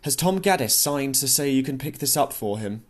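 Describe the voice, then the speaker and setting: A man's voice: mid-range voice, animated, moderate pace, close to an everyday voice. A man reading an audiobook, voicing an officious male counter clerk with a procedural, slightly awkward manner. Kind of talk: audiobook character dialogue.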